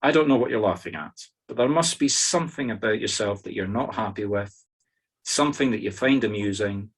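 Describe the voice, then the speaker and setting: A Scottish-accented man guides a group through a meditation in a fairly low voice. He gives simple, informal instructions one step at a time. His delivery is conversational, with wry, humorous asides. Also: expressive, a little fast, breathy edge.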